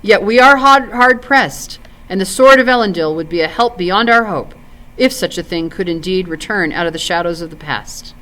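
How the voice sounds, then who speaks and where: A woman's voice: lowish voice, expressive, normal speed, fairly bright tone, poor audio. A woman voicing a proud, doubting nobleman warrior in formal, archaic storybook speech. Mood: proud, doubtful.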